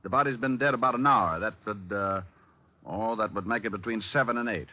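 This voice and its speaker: Man acting a flippant radio detective, deadpan, low voice, muffled old recording with music. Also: brisk, lightly acted. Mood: brisk, dry. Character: wisecracking, breezy male private detective.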